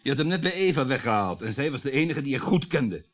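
Actor playing a curt, dismissive husband. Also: male voice, mid-range voice, animated, quick pace, close to an everyday voice. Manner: terse, brusque, questioning. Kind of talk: radio play acting.

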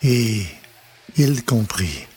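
A man narrating a scripted story in a measured, dramatic manner, with pauses for effect. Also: medium pitch, expressive, darkish tone, a little hiss or hum. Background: music.

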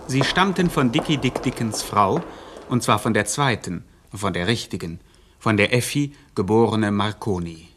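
A man's voice: fairly low pitch, lively intonation, normal speed, noisy background, lightly acted. A man narrating a satirical radio crime comedy from a script.

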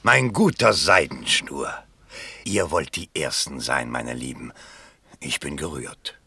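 A man's voice: extremely low pitch, expressive, slow, creaky, sharp tone, husky, no room sound, full character voice. An actor playing a vain, wealthy middle-aged bourgeois father with a pompous, emphatic manner in a 1960s radio play. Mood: smug. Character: vain wealthy bourgeois man, self-important would-be politician, blustering father.

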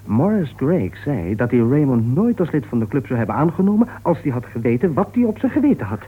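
An actor playing an earnest, respectful police assistant in a radio play, thoughtful and deferential. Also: male voice, mid-range voice, big pitch swings, a little fast, husky. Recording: tinny old radio play recording.

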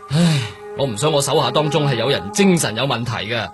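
Man acting a stern, fast-talking police boss, Hong Kong accent. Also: mid-range voice, over music, natural voice. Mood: exasperated. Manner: firm, exasperated authority. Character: stern male police superior giving orders. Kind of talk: radio drama acting.

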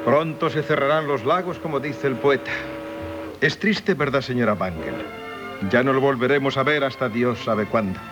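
Actor as genteel, wistful painter. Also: male voice, medium pitch, moderate pace, close to an everyday voice. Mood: courteous wistfulness. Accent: Castilian Spanish. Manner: florid, courteous. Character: genteel, wistful, talkative small-town painter. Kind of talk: 1970s Spanish radio drama acting. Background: music.

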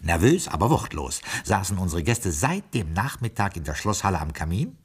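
A stiffly polite, long-winded castle butler in a radio comedy drama. His husky voice moves through a very lively range of pitch as he mutters, digresses and explains with formal courtesy. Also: moderate pace, exaggerated voice. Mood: genteel, storytelling. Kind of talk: radio comedy crime drama, acting and narrating.

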